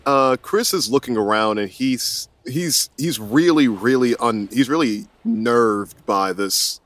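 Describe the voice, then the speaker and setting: A man's voice: mid-range voice, takes it slowly, a bit bright, no echo. A man playing in a casual tabletop roleplay podcast, hesitant and often repeating and correcting his words. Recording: music under the voice.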